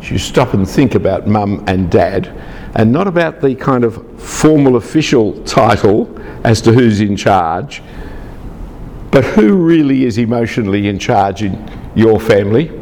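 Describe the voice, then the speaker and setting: A man's voice: on the low side, lively intonation, moderate pace, darkish tone, a little hiss or hum. Man lecturing in an echoey hall. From Australia. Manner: chatty, teasing asides to the audience. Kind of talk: lecture to a live group.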